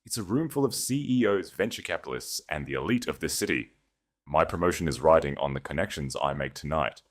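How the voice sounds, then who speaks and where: A man's voice: extremely low pitch, expressive, a little fast, a little hiss or hum. A man voicing a dismissive, ambitious, snobbish husband with casual contempt in an audiobook. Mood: condescending.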